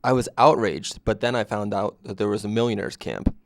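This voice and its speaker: A man narrating a scripted first-person story in a deadpan way. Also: lowish voice, a little fast, creaky, no echo, clean studio sound.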